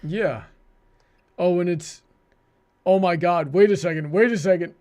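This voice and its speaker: A man hosting a livestream in casual banter, often halting as he thinks aloud. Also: sits high, a little mellow. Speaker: livestream host.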